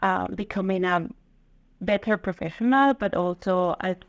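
A woman from Spain with a Spanish accent, a guest on a video call interview, speaking thoughtfully with many pauses and ums. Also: medium pitch. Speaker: guest, learning engineer. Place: home room, indoors. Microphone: video call webcam.

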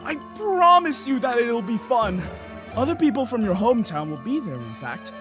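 Comedy dub prince, male actor: hot-headed, earnest, high animated voice over music. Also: normal speed. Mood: pleading. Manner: theatrical, foul-mouthed outbursts, earnest pleading. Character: excitable young prince, hot-tempered but devoted friend. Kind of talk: comedic parody dub acting.

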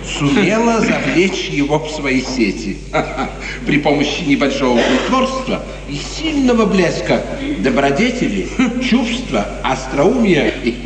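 An actor playing a sly, sneering, obsequious court chamberlain with insinuating theatrical delivery in a 1950s Soviet radio play. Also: male voice, a little high, sing-song, unhurried, a crowd in the background. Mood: sly, mocking. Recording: distant, reverberant 1950s radio recording.

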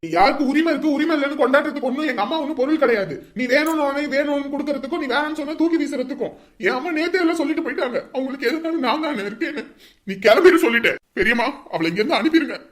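A woman playing a grieving son, high and fast. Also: natural voice. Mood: furious. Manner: shouting, sobbing. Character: grieving young son, fiercely protective.

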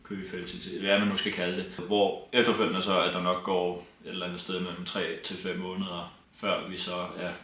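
A man's voice: low voice, completely flat delivery, few pauses, bright, forceful tone. A young man speaking openly and plainly to camera in a casual vlog, seated beside his partner. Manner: plain, open, a bit wry. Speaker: vlogger, partner. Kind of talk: casual personal talk to camera. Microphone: camera on tripod, seated. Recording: roomy echo.

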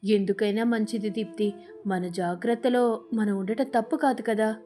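A woman voicing a cautious, agreeable young male parrot in a mild, obliging way for an animated storybook. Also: mid-range voice, normal speed, soft background music, close to an everyday voice. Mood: cautious, puzzled.

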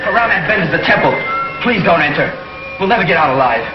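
An actor playing a terrified scientist who pleads fearfully in a 1950s television science fiction serial. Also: male voice, a little high, lively intonation, fast talker, echoey room, loud music behind the voice, close to an everyday voice. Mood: panicked, pleading. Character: terrified male scientist pleading against a return. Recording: muffled, faintly noisy old TV soundtrack.

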